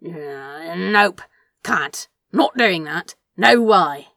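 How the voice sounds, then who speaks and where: A woman voicing a volatile, sharp-tongued male demon who shouts and swears emphatically. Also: on the low side, sing-song, slow pace, echoey room, far from the mic, exaggerated voice. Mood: frantic, furious.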